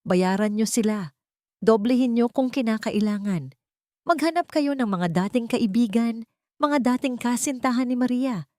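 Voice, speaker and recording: A woman's voice: on the high side, moderate pace, dry recording, clean studio sound, pitched above the performer's own voice, lightly acted. Woman voicing a cold, commanding rich matriarch. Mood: ruthless. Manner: calm but cutting, imperious.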